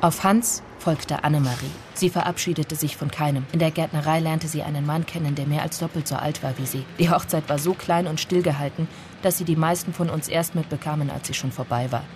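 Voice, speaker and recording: An actress playing a melancholy narrator in a literary radio drama, telling of childhood friends in a measured, restrained way. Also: female voice, low voice, steady tone, background noise, lightly acted. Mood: matter-of-fact. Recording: music under the voice. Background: music.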